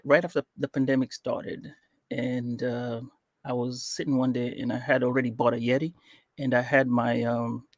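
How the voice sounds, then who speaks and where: A man from Kenya, with Swahili as his first language, chats as a podcast guest in a loose, rambling way. He keeps stopping to reach for words and fills the gaps with "uh" and "um". His voice is mid-pitched and sits close and dry on the mic. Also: no room sound. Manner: rambling, with frequent fillers and restarts. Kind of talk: casual interview chat.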